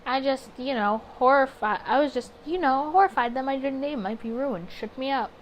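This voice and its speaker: A woman's voice: high-pitched, normal speed, pitched above the performer's own voice, lightly acted, rough recording. A woman plays a guarded Prohibition-era detective in a visual novel. He comes across as flustered, hesitant and on the defensive. There is faint noise on the recording.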